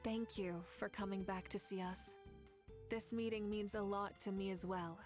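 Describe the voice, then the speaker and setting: A woman voicing a calm, gracious female leader in a video game, speaking in a gentle manner. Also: mid-range voice, normal speed, natural voice. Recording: game audio with music.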